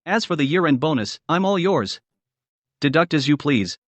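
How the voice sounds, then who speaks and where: A male actor as a rich young CEO husband in a romance drama. His voice sits a little high for a man and moves with some liveliness. He is calm, teasing and devoted. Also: moderate pace, no room sound, natural voice. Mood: cool, devoted.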